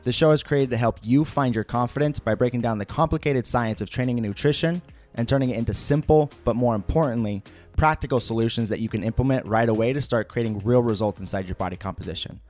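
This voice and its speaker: A young man hosting a fitness podcast, talking in an upbeat, energetic way with plenty of fillers. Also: medium pitch, fast talker, faint music behind. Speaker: podcast host. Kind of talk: casual podcast chat.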